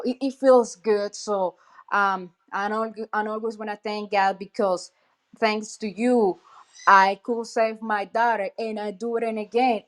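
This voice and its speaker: Grateful woman with a Colombian accent. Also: mid-range voice. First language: Spanish. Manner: earnest, grateful, talks in restarts with many fillers. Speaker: client sharing a testimonial. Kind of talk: casual spoken testimonial. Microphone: online audio call.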